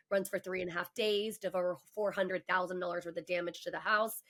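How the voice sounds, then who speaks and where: A woman's voice: medium pitch, a bit bright. A woman in her forties, a guest on a podcast, telling her own story in a candid, emphatic and passionate way. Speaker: mortgage professional. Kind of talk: casual podcast conversation.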